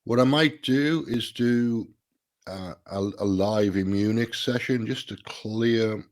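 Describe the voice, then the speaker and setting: A middle-aged man hosting a casual solo live stream, a little nasal and stuffy from a sinus problem, thinking aloud with restarts. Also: slow pace. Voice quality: slightly nasal, deeper than usual.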